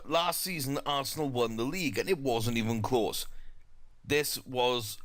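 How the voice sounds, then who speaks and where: A man's voice: medium pitch, fairly bright tone, dry recording. A young man with a South Welsh accent, chatting casually through a football management game with lots of fillers and restarts. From South Wales. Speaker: gaming YouTuber.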